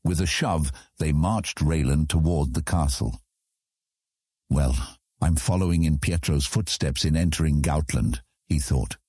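Male narrator, very low and husky, scripted epic fantasy audiobook delivery, dry studio sound. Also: a little slow, darkish tone, no room sound.

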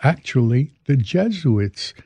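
Gravelly, husky man in his eighties, halting. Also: mid-range voice, very animated, mellow, no room sound. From Hungary. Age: 80s. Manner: halting, hesitant delivery. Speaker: interview guest, veteran commodities exchange trader. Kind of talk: reminiscing in an interview.

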